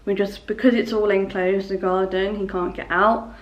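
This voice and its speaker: A woman's voice: mid-range voice, steady tone, moderate pace, distant mic, muffled recording. A young woman from England with a Nottingham accent, chatting casually in a home vlog by a window, rambling with lots of fillers. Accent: Nottingham English. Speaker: vlogger.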